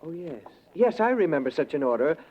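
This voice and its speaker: An actor playing a polite, puzzled, deferential florist in a 1940s radio drama, speaking hesitantly. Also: male voice, a little high, very animated, fairly quick, slightly rough. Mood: meek, puzzled. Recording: muffled 1940s radio broadcast.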